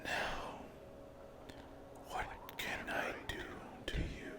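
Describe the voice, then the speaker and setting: A man voicing a menacing, possessive sleep paralysis demon in a whispered ASMR roleplay, with slow, taunting, drawn-out phrases. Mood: menacing, coaxing. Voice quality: breathy whisper with creaky low voice.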